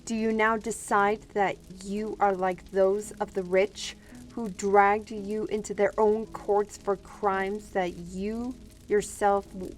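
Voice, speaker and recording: An earnest female steward, played by a woman, speaks slowly and solemnly at a middle pitch. She is principled and measured, a fantasy drama heroine over faint music. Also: darkish tone, close to an everyday voice, poor audio. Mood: defiant. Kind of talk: fantasy audio roleplay drama.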